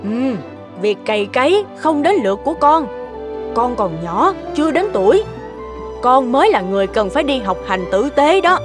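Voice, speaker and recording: An actress playing a greedy, domineering middle-aged stepmother in an animated folk tale, with a sharp, scolding manner. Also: high voice, normal speed, music in the background. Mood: exasperated.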